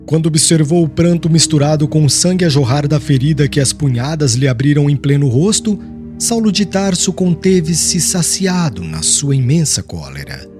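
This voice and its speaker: A man's voice: on the high side, lively intonation, moderate pace. A Brazilian man narrating an audiobook in a solemn, theatrical, formal literary style. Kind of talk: dramatic scripted literary narration. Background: background music.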